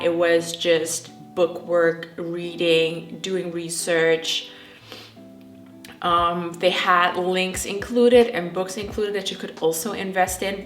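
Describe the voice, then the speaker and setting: A woman's voice: on the low side, a bit bright. A young woman from the Netherlands with a Dutch accent, chatting casually to camera and filling her sentences with "like" and "um.". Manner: chatty, frequent fillers like "like" and "um". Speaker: trichologist and YouTuber. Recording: echoey room with faint music.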